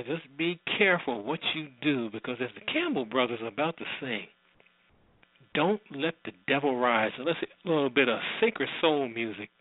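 Casting: male radio host, mid-pitched, loose conversational delivery that rambles and repeats, heard through a narrow phone-like connection. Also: no room sound. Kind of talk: casual, unscripted radio show introduction. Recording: tinny, phone-like internet radio audio.

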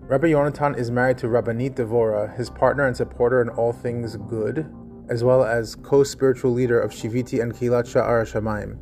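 A man hosting a podcast, reading a formal, measured introduction of his guest. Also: mid-range voice, steady tone, gravelly, darkish tone. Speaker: podcast host. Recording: faint guitar music behind. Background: faint guitar music.

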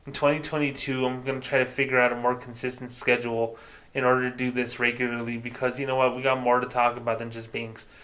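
A male podcast host talking on his own into the mic, casual and loose, with the odd curse word. His voice sits at an ordinary pitch and stays flat and monotone, with little rise or fall. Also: fast talker, poor audio. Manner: monotone, self-described; casual, swears freely. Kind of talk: solo podcast monologue.

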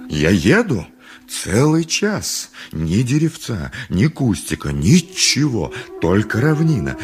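A haughty, easily exasperated town dentist in a radio play. The voice sits fairly low and moves in a lively, dramatic way. He tells his story with emphatic self-importance. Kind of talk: theatrical 1980s radio play narration and dialogue.

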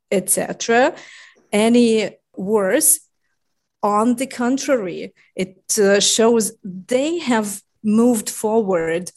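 A woman talks through her ideas in a relaxed discussion. She speaks in measured, thoughtful phrases, pausing often and filling gaps with soft fillers. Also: mid-range voice. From Ukraine or Russia region. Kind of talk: casual discussion.

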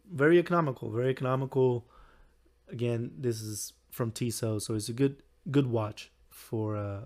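A young man with a hoarse, recovering voice, hosting a solo podcast in a rambling, halting way with frequent chuckles. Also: medium pitch. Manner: chuckles often. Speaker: podcast host. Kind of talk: casual solo podcast talk.

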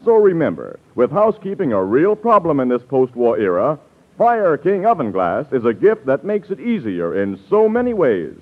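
A man reading a 1940s radio advertisement as announcer, polished and salesmanlike. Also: on the high side. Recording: tinny, phone-like old radio recording.

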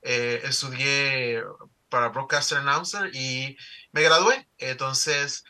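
A man's voice: medium pitch, slow, sharp tone. A middle-aged man from El Salvador with a Salvadoran accent, telling his story in an interview with many fillers and restarts. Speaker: newspaper founder and CEO.

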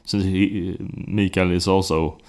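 Deep-voiced Swedish man, laughing interviewer. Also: a little slow. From Skåne, Sweden. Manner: relaxed, laughs often, restarts words. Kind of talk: casual conversational interview.

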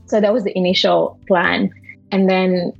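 A woman's voice: lowish voice, flat delivery, normal speed, vocal fry, highs cut off, faint music behind. A young woman in her twenties from Indonesia with an Indonesian accent tinged with Malaysian, chatting casually as a podcast guest with many fillers and restarts. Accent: Indonesian with a bit of Malaysian. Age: 20s. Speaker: podcast guest, university student. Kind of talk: casual interview chat.

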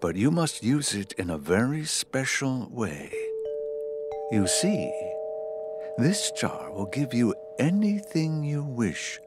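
An actor playing a kindly, wise old man in a children's folktale, speaking in a measured, fatherly way. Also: mid-range voice, sing-song, over loud music. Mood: wise, reassuring. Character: kindly hunched old man, wise magical gift-giver. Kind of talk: children's audio folktale drama.

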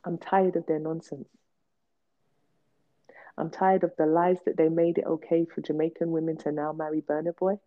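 A low-voiced woman speaks with blunt, emphatic force, repeating words to drive her point home. Her tone stays candid and personal, and the recording sounds dull and lo-fi. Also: little pitch movement, normal speed, long pauses. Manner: emphatic, blunt, repetitive for stress. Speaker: podcast host. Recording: dull lo-fi podcast audio.

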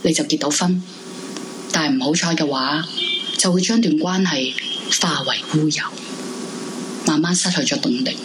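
Actress as low-voiced, brooding lonely woman, noisy recording. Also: normal speed, pauses often, lightly acted. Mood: melancholy, brooding. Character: lonely, weary, clinging heartbroken woman past twenty-five. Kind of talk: live horror radio drama, confessional monologue. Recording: noisy live room recording.

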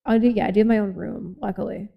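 Gravelly young woman hosting a podcast. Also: medium pitch, steady tone, quick pace, highs cut off. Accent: light Boston. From Boston. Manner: rambling, many "like" fillers, laughs often. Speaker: podcast host. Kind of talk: casual chat.

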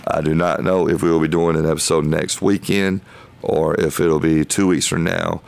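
Deep gravelly man, hoarse and halting. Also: little pitch movement, darkish tone. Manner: halting, thinking aloud in loose phrases. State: hoarse, losing his voice. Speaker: podcast host. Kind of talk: casual Bible study talk.

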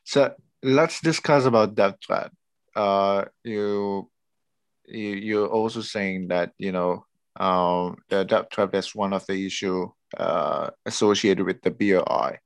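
A man from Indonesia hosting an interview, asking measured questions with some fillers. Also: sits low, no room sound. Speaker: interviewer, host.

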